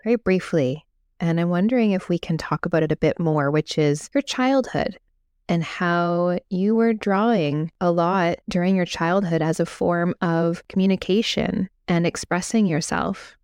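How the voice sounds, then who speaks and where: A woman hosting a podcast, asking measured, articulate questions that gently prompt her guest. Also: fairly low pitch, clean tone, no echo, studio quality. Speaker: podcast host. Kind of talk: attentive interview questioning.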